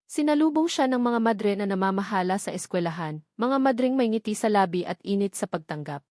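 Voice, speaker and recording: A woman's voice: mid-range voice, lively intonation, fairly quick, a little mellow, no room sound, clean studio sound. A woman with a Filipino accent reading a scripted romantic story as an audiobook narrator.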